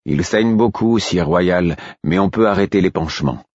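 A man voicing a calm, professional male healer respectfully addressing his king in an audiobook. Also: low-pitched, moderate pace, no room sound, muffled recording.

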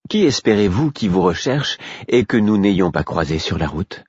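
A man raising his husky voice to play a teasing, sharp-tongued woman minstrel in a read-aloud story. She jabs and mocks with quick wit, her lines swinging in pitch. The sound is a little dull and lo-fi. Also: very animated, no echo, full character voice. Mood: mocking. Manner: mocking, quick-witted.

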